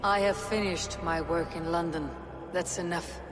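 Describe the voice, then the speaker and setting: An actress playing a stoic, battle-hardened Viking warrior in a video game, speaking in a terse, firm, measured way. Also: female voice, fairly low pitch, steady tone, music in the background, natural voice. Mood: defiant. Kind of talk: dramatic video game cutscene acting. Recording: noisy ambience behind.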